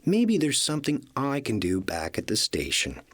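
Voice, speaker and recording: A man voicing an eager, friendly little tank engine with an earnest, excitable manner in a children's storybook. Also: mid-range voice, normal speed, no room sound. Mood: sad.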